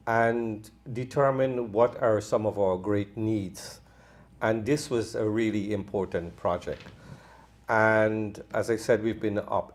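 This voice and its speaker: A man in his fifties from Guyana, a doctor, answering interview questions in a calm, measured way. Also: on the low side. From Guyana, New Amsterdam. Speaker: medical doctor, crematorium co-owner. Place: studio.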